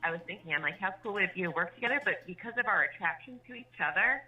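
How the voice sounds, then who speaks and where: Fast-talking woman on muffled phone call. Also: on the low side, expressive. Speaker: caller, inmate's partner. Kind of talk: intimate casual phone chat. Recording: dull recorded jail phone call.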